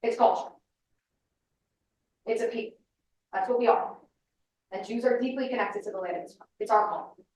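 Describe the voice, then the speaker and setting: A woman's voice: a little high, moderate pace, pauses often, a little mellow, slight background noise. A woman, giving an emphatic, insistent public lecture to a room full of people. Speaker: speaker. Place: large room with audience. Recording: echoey hall, mic at a distance.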